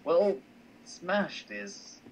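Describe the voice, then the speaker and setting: A man playing a teasing, self-assured mentor in a visual novel, speaking in a measured, insistent, wryly patronising way. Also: fairly high pitch, sing-song, full character voice. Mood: amused. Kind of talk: amateur voice acting of a visual novel. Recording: distant mic with faint noise.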